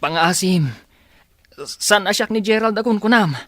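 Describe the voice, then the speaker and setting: A man's voice: high voice, a little slow. An actor playing a concerned young man, a dutiful son, questioning and earnest in a radio drama. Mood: insistent, baffled.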